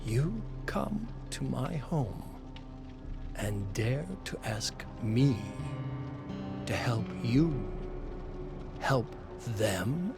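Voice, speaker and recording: A man acts out an ancient vampire lord from a dark tale, proud and brooding. He speaks slowly and gravely, with an imperious weight on his words and faint music behind him. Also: medium pitch, big pitch swings, heavily acted. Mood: scornful.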